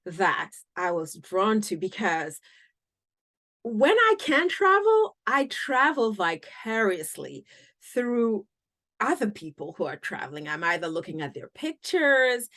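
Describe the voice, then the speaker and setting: A woman hosting a podcast who speaks slowly and draws out her phrases. Her pitch swings widely as she talks, which makes her sound enthusiastic and animated in a friendly interview. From United States. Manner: enthusiastic, lively, drawn-out phrasing. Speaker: podcast host.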